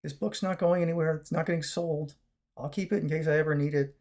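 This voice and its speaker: A man with a raspy, chesty voice, recovering after a long fast, talking casually to his viewers in a rambling way with many fillers and restarts. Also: a little high, fairly even intonation, darkish tone. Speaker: vlogger. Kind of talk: casual talk to camera.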